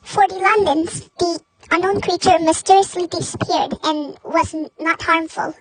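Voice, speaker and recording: The voice is extremely high in pitch. It reads scripted breaking news in the role of a newsreader for a fictional emergency alert broadcast. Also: fairly even intonation, normal speed, no room sound, low-quality recording.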